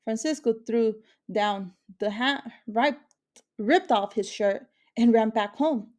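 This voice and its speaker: A woman with a fairly high voice reads a children's picture book aloud. She narrates as a storyteller. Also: takes it slowly, a little mellow. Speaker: story reader.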